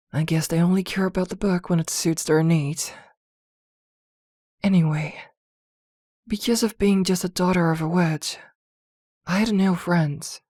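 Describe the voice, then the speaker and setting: A woman playing a possessive, protective witch girlfriend in an intimate ASMR roleplay, with a soft, coaxing murmur. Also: fairly low pitch, no echo, clean studio sound. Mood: wistful.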